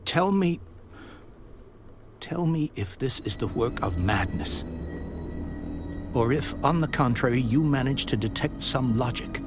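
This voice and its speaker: An actor playing a proud, grieving old industrialist in a period mystery game, speaking formally and earnestly. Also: male voice, mid-range voice, expressive, measured pace, long pauses, music in the background. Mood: pleading, earnest. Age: older. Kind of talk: video game voice acting, period mystery drama. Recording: faint background noise.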